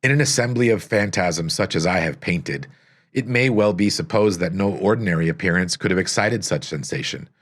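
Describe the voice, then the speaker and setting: A male audiobook narrator with a fairly low voice reads a gothic tale. His delivery is scripted, solemn and dramatic, with deliberate phrasing. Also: lively intonation.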